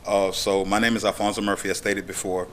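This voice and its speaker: A man speaks at a podium microphone in a county meeting room. His voice is very deep and stays flat. He talks plainly and steadily, with occasional "uh" fillers. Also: quick pace, a little hiss or hum. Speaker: business owner applicant. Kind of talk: public hearing statement.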